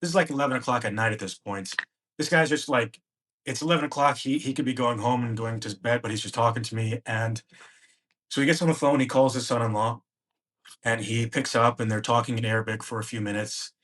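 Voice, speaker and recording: A man's voice: medium pitch, fairly quick, a bit bright. A man, a podcast guest, recounting a personal travel story in present tense and acting out the dialogue he remembers. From United States.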